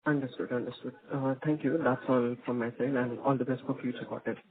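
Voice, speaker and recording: A man with an Indian accent puts questions to company management as an analyst. His voice is husky and mid-pitched. It comes through a thin, narrow telephone line. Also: steady tone, brisk. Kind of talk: earnings call questioning. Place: phone call into a conference.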